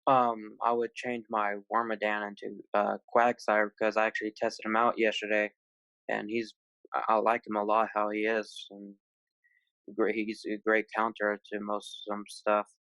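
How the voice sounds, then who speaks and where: A male guest from Colorado talks casually and haltingly about gaming. His voice is low and very even, with noticeable creak. The sound is close and dry. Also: dry recording, poor audio. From Colorado, United States. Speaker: tournament player, panel guest. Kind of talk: casual chat.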